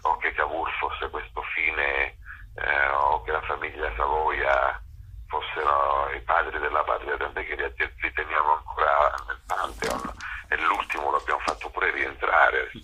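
Deep, rambling man on radio. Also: flat delivery, a little slow, sharp tone, husky, low-quality recording. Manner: rambling, digressive, many fillers and restarts. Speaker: theatre author, director and actor as guest. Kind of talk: radio interview, discursive talk.

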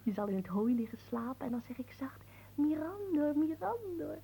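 An actress playing a young peasant sweetheart in a radio play, theatrical and emotional, naive, doting and quick to jealousy. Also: female voice, sits high, sing-song, creaky, dark tone, full character voice, rough recording. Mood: tender, dreamy.

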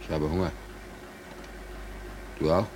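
An actor playing an embittered, hungry merchant seaman in a 1940s German radio play, speaking in a halting, brooding, insistent way. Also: very deep voice, steady tone, darkish tone. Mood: hushed, uneasy. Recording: noisy 1940s radio drama recording.

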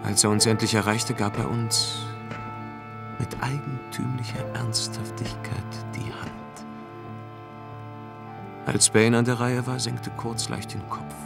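An actor playing a brooding, world-weary traveller in a German audio drama about a dark space voyage. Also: male voice, mid-range voice, level tone, slow, creaky, long pauses, over music. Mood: brooding, reflective.

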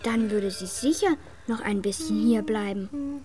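A woman's voice: mid-range voice, measured pace, crowd noise, close to an everyday voice. A woman acting a young girl in a German radio drama, a bossy, protective big sister. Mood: matter-of-fact, caring.

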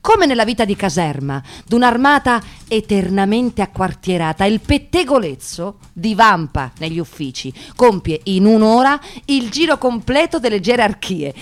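An actress playing an articulate, wry narrator in a radio play, delivering literary scripted narration in a measured, ironic manner. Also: female voice, a little high. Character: articulate, wry female narrator observing Roman society.

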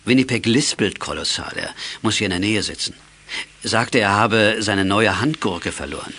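An actor playing a calm, wry middle-aged father who takes command as a CB radio veteran in a children's audio drama. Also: lowish voice, normal speed. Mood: concerned, thoughtful. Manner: commanding, dryly humorous. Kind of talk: 1980s German children's audio drama acting.

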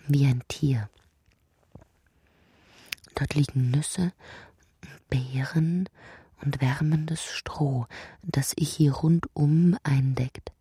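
An actress playing a brooding, introspective narrator of a dreamlike literary radio drama, with a soft, breathy, husky delivery. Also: female voice, extremely low pitch, no echo, heavily acted. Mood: hushed, sleepy. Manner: measured, introspective delivery, sometimes sinking into whispers.

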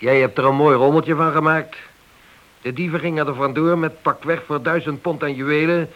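Stern inspector played by a man: higher-pitched, forceful, sharp, sarcastic; old radio play. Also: fairly even intonation, normal speed, highs cut off, lightly acted. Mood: angry, sarcastic. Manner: sarcastic, authoritative. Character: stern, angry police inspector dressing down a subordinate. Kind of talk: Dutch radio drama acting.

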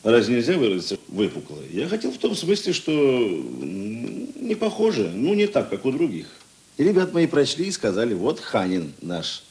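An actor playing a seasoned police investigator in a radio drama, gruff but talkative, with a husky voice and halting, conversational delivery. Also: male voice, mid-range voice, wildly swooping pitch, a little mellow, full character voice. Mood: slightly hurt. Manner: musing, halting, conversational asides. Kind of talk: Russian radio drama dialogue.

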